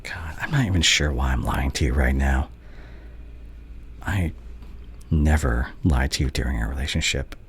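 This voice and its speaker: Very deep-voiced, hesitant doctor ex-boyfriend played by a man. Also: slight background noise. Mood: anguished, confessional. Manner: hesitant, halting, tender. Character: devoted, regretful male doctor, anxious ex-boyfriend of the listener. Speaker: voice actor in an audio roleplay. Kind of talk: intimate ASMR boyfriend roleplay.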